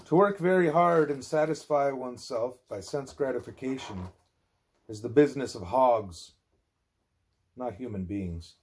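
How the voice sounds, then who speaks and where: A man's voice: medium pitch, measured pace, a little mellow. Man reading a spiritual discourse aloud. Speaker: audiobook reader.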